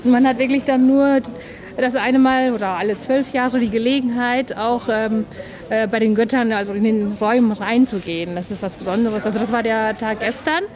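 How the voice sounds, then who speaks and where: Thirties woman, halting interview answers over a crowd. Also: on the high side, steady tone. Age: 30s. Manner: hesitant, many fillers. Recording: crowd noise behind.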